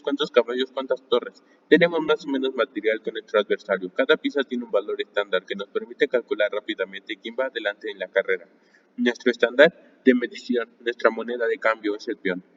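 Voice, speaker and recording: A man reading a nonfiction book aloud in a live session, at a measured pace with the odd restart. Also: medium pitch. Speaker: reader of a book. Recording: distant mic, long reverb, dull lo-fi sound.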